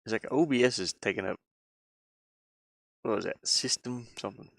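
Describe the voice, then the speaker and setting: A man chatting casually on a live stream as he works on a game, halting and muttering his thoughts aloud. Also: lowish voice, vocal fry, no echo. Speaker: hobby game developer streaming his work. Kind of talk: casual live-stream chat while working.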